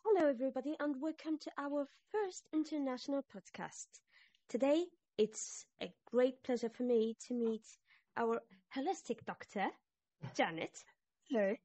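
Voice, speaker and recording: A woman's voice: sits high, moderate pace, dark tone, dry recording. A woman hosting a podcast interview who talks in a polite, formal way.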